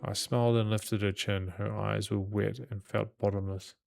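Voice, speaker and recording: A man narrates a visual novel playthrough in a very low, gravelly voice. He reads in a measured storytelling way, stumbling now and then, with game music clearly behind him. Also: little pitch movement. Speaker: gaming channel host. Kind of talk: reading aloud a visual novel during a playthrough.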